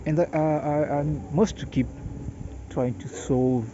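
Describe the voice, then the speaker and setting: A tired Brazilian man in his late thirties with a Portuguese accent, talking slowly and haltingly to camera in a personal monologue, often restarting his words. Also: on the high side, fairly even intonation. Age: 30s. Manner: hesitant, halting, repeats words. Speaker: vlogger. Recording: dull lo-fi audio with background noise.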